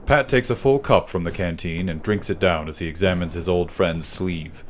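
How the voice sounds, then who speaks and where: A man's voice: low voice, moderate pace, slight background noise. A man reading a historical war story aloud as scripted dramatic narration for a literary podcast.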